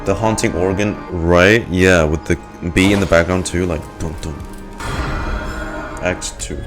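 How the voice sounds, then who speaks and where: A very low-voiced man reacts casually to a video game in wry, slangy remarks. Loud game music plays behind him. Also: fairly even intonation, measured pace. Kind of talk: casual live reaction commentary.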